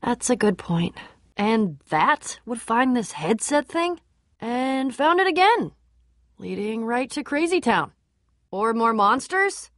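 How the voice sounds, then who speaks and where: A woman's voice: a little high, very animated, slow pace, dry recording, studio quality. An actress playing a tough, guarded young female adventurer in a video game, casual, candid and dryly sarcastic. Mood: strained, puzzled. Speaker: game voice actor. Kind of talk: video game character dialogue.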